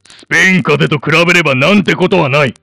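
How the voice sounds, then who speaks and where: Man acting a gravelly, stern old-fashioned father. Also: a little high, fast, sharp tone, dry recording, heavily acted. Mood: curt, blunt. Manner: curt, blunt, scolding. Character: gruff, stern, short-tempered chain-smoking Showa-era father. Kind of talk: radio drama acting.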